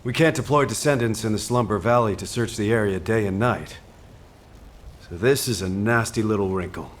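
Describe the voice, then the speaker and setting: A man playing a composed, caring military officer in a video game, giving grave briefings in a measured, formal, earnest way. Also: fairly low pitch, slight background noise, lightly acted. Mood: grave, concerned. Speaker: mission commander giving briefings. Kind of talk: scripted video game dialogue.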